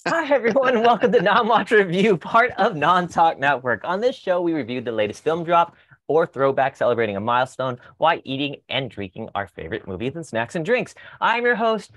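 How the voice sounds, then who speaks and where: An excitable man in his thirties hosts a casual chat show on a headset mic at home. He gushes, laughs easily, and his voice sounds dry and close, with no room around it. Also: on the high side, no echo. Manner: excitable, gushing, quick to laugh. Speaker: host. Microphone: headset, video call.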